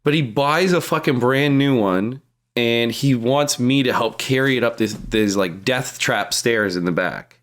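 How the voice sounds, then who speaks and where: A man's voice: medium pitch, measured pace, fairly bright tone. A man chatting casually as a podcast co-host, crude and sweary in a joking way. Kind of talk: casual comedic chat. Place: remote podcast call.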